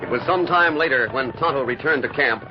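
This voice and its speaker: An actor narrating a western adventure in a stirring, dramatic old-time radio style. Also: male voice, mid-range voice, a little fast, lightly acted. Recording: muffled old radio recording, loud music.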